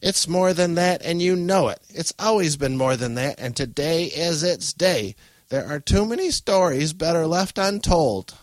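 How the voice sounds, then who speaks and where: Man voicing a creaky, high-pitched frightened old grandmother. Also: unhurried, no echo, natural voice. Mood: urgent, insistent. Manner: dramatic, foreboding warnings. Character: frightened, superstitious old grandmother, frail and ominous. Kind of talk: audiobook character voice.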